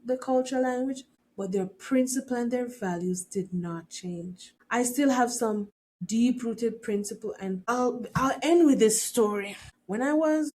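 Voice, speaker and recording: A woman's voice: medium pitch, mellow. A middle-aged woman from Jamaica, with a light Jamaican accent, hosting a faith-based podcast. She talks earnestly and often repeats words while she searches for the next thought. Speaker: podcast host. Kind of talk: faith-based reflective monologue.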